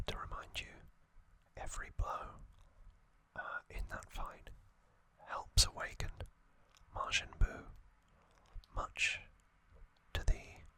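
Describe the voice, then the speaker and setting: A man whispering a calm trivia recap for an ASMR video close to the microphone, with soft ums and casual asides. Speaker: ASMR channel host.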